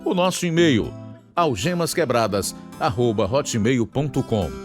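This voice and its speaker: A man's voice: mid-range voice, expressive, a little slow. A man with a Brazilian accent hosting and announcing a radio program with scripted narration. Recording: guitar music under the voice.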